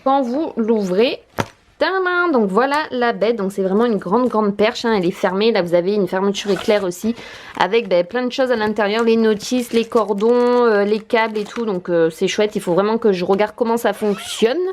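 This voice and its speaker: A young woman in her late twenties chats excitedly through a casual home vlog. She talks freely with many fillers and pauses, recorded indoors by a window. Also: mid-range voice, slight background noise. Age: 20s. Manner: chatty and enthusiastic, many fillers. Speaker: vlogger.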